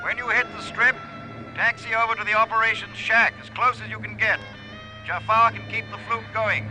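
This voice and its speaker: An actor playing a brisk, commanding airstrip man giving orders in an old-time radio drama. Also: high voice, little pitch movement, pushed and bright, faint music behind, poor audio. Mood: firm, urgent.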